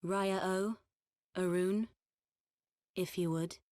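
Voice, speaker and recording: A woman's voice: medium pitch, steady tone, takes it slowly, a little mellow, no room sound, studio quality, close to an everyday voice. A woman playing a solemn ceremonial priestess in a video game cutscene, with a stately, formal, incantatory delivery. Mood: reverent, solemn. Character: solemn ceremonial priestess invoking great spirits.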